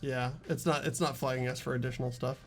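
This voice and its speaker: A playthrough host reading a video game's narration aloud in an expressive storytelling way. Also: medium pitch, fast talker. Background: faint music.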